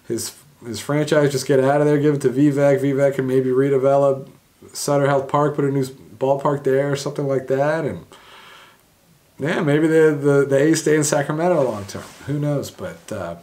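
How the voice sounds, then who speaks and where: A man's voice: mid-range voice, darkish tone. Young male sports blogger, casual home-studio commentary, mid-range voice, full of ums and restarts. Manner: conversational, many fillers and restarts, wry laughs. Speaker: sports blog host. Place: home room indoors.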